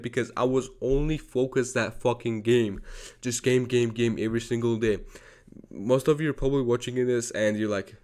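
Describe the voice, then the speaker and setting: A young man from Georgia, a native Georgian speaker, telling a personal story in an earnest, repetitive way with frequent swearing. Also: medium pitch. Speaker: vlogger. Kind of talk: personal confessional monologue.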